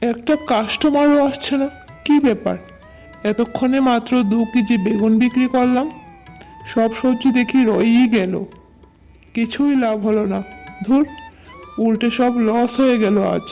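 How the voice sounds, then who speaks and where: A woman's voice: a little high, measured pace, pauses often, dark tone. A woman voicing a worried, hardworking male vegetable seller and family man in a cartoon, plaintive and earnest. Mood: dejected. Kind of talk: cartoon dialogue acting. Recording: music bed behind voice.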